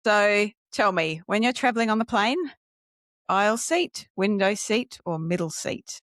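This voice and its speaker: A woman's voice: medium pitch, animated, unhurried, fairly bright tone, dry recording. Female podcast host, very dry close sound. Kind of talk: casual conversational interviewing.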